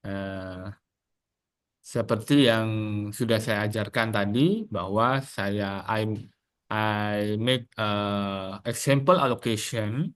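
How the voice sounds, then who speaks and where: A man with an Indonesian accent, a native Indonesian speaker, lecturing students in halting English mixed with Indonesian. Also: lowish voice, a bit bright. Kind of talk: advice to students in an academic webinar.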